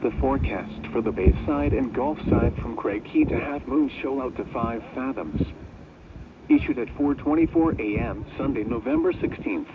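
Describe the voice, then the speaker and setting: A synthetic American man's voice reads a scripted weather bulletin over the radio in measured, impersonal, clipped phrases. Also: mid-range voice, animated. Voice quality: synthetic text-to-speech voice. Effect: weather radio broadcast. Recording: muffled weather radio, clear background noise.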